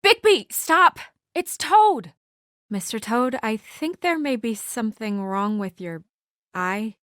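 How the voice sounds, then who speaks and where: A woman's voice: on the high side, lively intonation, slow, a bit bright, no room sound, studio quality. A woman playing an earnest, businesslike young woman with office authority and a dryly exasperated edge, in naturalistic video game acting. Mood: alarmed, urgent.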